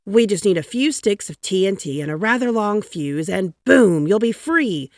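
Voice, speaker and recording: A woman's voice: medium pitch, animated, normal speed, dry recording, clean studio sound, pitched above the performer's own voice, close to an everyday voice. A woman voicing a gung-ho male war secretary in a storybook, confident and punchy. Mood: gleeful.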